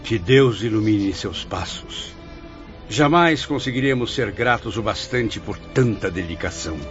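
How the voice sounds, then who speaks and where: A courteous, questioning spirit in a Brazilian radio play. His formal speech has wide, expressive intonation. The audio is a bit dull, with music behind. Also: lowish voice, a little slow. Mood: grateful. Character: humble, inquisitive male spirit, once a writer. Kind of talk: Brazilian radio drama acting.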